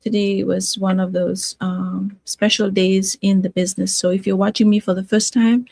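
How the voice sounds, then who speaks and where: Casting: middle-aged woman from Fiji, mid-pitched, reflective livestream host, halting delivery with stretched key words, close dry sound. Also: little pitch movement, gravelly, a little mellow, no echo. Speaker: livestream host, nurse and online business owner. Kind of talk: casual motivational livestream talk.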